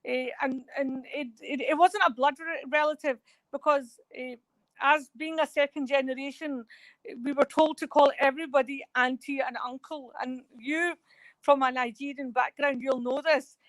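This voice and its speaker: A woman's voice: high-pitched, steady tone, normal speed, no room sound, low-quality recording. A woman talking as a guest in a remote radio interview, rambling and hesitant with many fillers. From Scotland.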